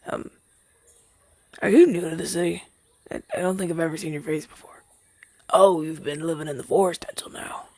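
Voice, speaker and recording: A man's voice: fairly high pitch, steady tone, fairly quick, pauses often, darkish tone, no echo. A young man playing a chatty, down-on-his-luck crow demon, once a witch's familiar, in a hesitant, stammering roleplay audio drama. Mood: curious, friendly.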